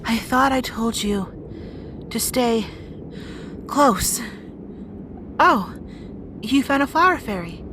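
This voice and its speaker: A woman's voice: a little high, lively intonation, takes it slowly, long pauses, background noise. A woman playing a brusque, guarded young rat princess in an audio roleplay drama, bossy and conversational. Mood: brusque, demanding.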